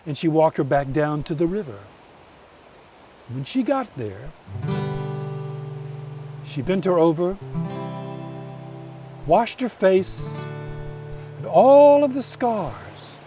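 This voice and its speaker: A man telling a folk tale as a storyteller, theatrical, with deliberate pauses. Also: on the high side, big pitch swings, recorded from a distance, over music.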